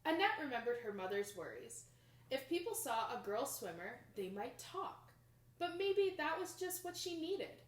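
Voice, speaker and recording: A young woman reads a children's picture book aloud with expressive, dramatic emphasis, recorded in a small room indoors. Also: sits high, normal speed. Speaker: storybook reader. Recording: roomy home recording.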